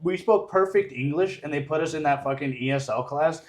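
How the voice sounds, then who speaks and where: A man's voice: on the high side, brisk. A man with an American accent chatting casually as a podcast co-host, telling stories animatedly and swearing freely. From United States. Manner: animated storytelling, heavy swearing. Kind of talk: casual chat.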